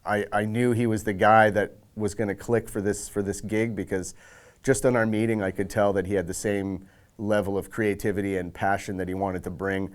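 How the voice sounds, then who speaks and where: Man, low voice, casual behind-the-scenes interview, conversational storytelling with quoted asides. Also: flat delivery, moderate pace, smooth. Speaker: senior audio director.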